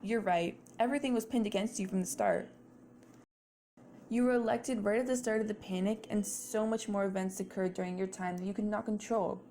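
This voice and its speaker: Woman doing an accusing townsperson announcer in a puppet show, normal pitch, bit of noise. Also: fairly even intonation, fairly quick, dark tone, lightly acted. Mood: declaring, blaming. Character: complaining citizens and election announcer, accusing, demanding. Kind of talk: amateur scripted history puppet show.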